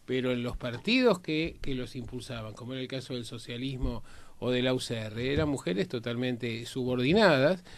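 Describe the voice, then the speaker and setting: A man's voice: mid-range voice, lively intonation, moderate pace, slight background noise. An Argentine man discussing history as a panelist, explaining emphatically and checking his points with short tag questions. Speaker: panelist on a history and politics programme.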